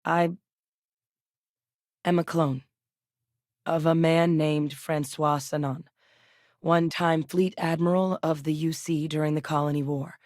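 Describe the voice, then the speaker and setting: An actress playing a composed, frank military scientist in a space video game, speaking in a measured, articulate way. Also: female voice, sits low, fairly even intonation, a little mellow, dry recording, clean studio sound. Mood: composed, serious. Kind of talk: acted video game dialogue.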